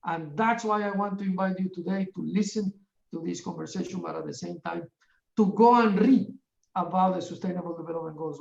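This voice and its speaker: A middle-aged man from Ecuador, chairing a webinar in a formal, earnest opening address, halting often with fillers. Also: sits high, creaky, a little mellow. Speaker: webinar chair, UN division director. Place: office in a large UN building. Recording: echoey, distant and dull-sounding.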